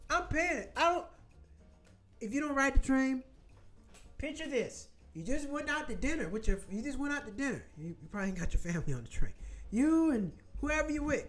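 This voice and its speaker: A host from Florida chatting casually with viewers, rambling through loose asides and swearing freely. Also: high voice. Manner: rambling, salty, talks in quick asides. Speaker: show host.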